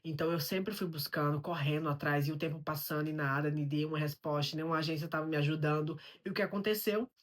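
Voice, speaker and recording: Low-voiced Brazilian woman vlogging. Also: fairly even intonation, fast, hardly any pauses. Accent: Brazilian Portuguese. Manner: explanatory, poses rhetorical questions and answers them. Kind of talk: personal storytelling vlog.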